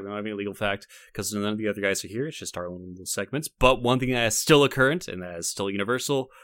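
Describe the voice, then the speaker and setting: A man's voice: lowish voice, fairly bright tone, no echo, studio quality. A man co-hosting a podcast in casual banter, with a jokey, playful and rambling way of talking. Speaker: podcast co-host.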